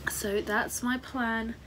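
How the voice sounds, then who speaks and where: Woman vlogging at her desk, bit husky from a sore throat, lots of ums, faint hiss behind. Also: on the high side, fairly bright tone, low-quality recording. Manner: chatty, frequent ums and small laughs. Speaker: artist vlogger. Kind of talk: casual vlog chat to camera. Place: home studio room, indoors. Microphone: camera on the desk, close framing.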